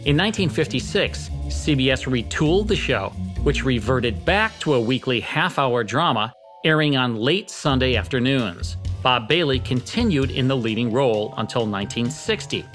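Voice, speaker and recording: Music plays clearly behind an American man in his fifties. He gives a scripted podcast introduction in a medium-pitched studio voice, narrating about old-time radio. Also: expressive, normal speed. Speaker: podcast host.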